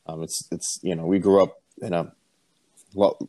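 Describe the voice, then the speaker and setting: Really low-voiced guy from puerto rico, laid back podcast chat, lots of ums, dry close sound. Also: normal speed, dry recording. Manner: relaxed, easygoing storytelling with many ums. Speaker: podcast co-host. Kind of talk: casual chat.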